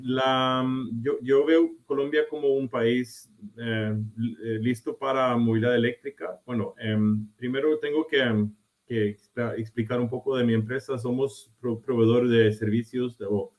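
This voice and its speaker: Man, costa rican-tinged accent, mid-pitched, halting, restarts a lot, video call panelist. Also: steady tone. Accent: Costa Rican-tinged Spanish. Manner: halting, many restarts and fillers. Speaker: panelist, charging company executive. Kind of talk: remote panel talk. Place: remote, joining from abroad.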